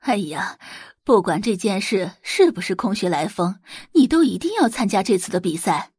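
A female performer acts a pushy middle-aged mother for an audio drama. She coaxes and exclaims with animated intonation and a husky tone. The sound is close and dry, her manner gossipy and emphatic. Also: mid-range voice, moderate pace, no room sound. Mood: insistent.

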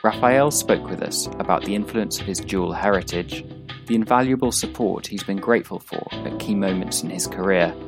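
A man hosting a podcast, reading a scripted introduction in a steady, read-aloud way over guitar music. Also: sits low, moderate pace. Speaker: podcast host.

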